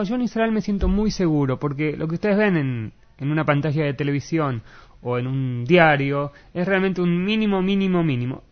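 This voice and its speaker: An Argentine man with a moderately high voice explains things in an interview setting. He feels his way through sentences, pausing for the right word and repeating key ones to press his point. The sound is dull and a little lo-fi. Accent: Argentine Spanish. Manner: halting, searching for words, repeating key words for emphasis. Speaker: interviewee giving political analysis. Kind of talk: spoken interview, explanatory analysis.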